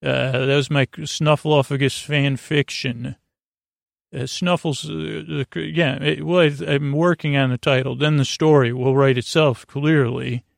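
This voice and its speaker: Gravelly, meandering male bedtime podcast host. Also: mid-range voice, level tone, no echo, studio quality. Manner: halting, meandering, with stammers and self-corrections; goofy, whimsical. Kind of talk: rambling bedtime monologue to help listeners sleep.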